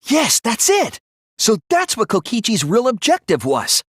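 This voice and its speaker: Earnest teen boy detective from a video game, slightly husky, really animated pitch, thinks things through carefully. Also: dry recording, clean studio sound, exaggerated voice. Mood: urgent, surprised. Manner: earnest, deliberate reasoning. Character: earnest, analytical teenage male detective. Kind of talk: scripted game dialogue, detective explaining a case.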